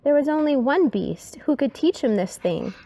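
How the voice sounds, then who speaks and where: A woman reading a rhyming children's story aloud in an expressive, dramatic way, sometimes dropping to a hush. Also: high-pitched, moderate pace.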